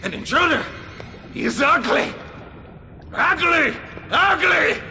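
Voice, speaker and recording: Shrill, deranged surgeon villain. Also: male voice, lively intonation, slow pace, vocal fry, soft background music, heavily acted. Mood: manic disgust. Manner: manic, theatrical, shrieking. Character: deranged perfectionist surgeon, ranting and cackling. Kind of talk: over-the-top video game villain acting. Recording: very noisy, loud ambience.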